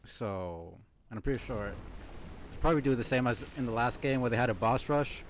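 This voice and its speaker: A man's voice: medium pitch, animated, a little fast, a little hiss or hum. A man giving casual live gaming commentary, thinking aloud as he plays and chatting with viewers. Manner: musing aloud while playing, chatty with viewers. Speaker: live game streamer.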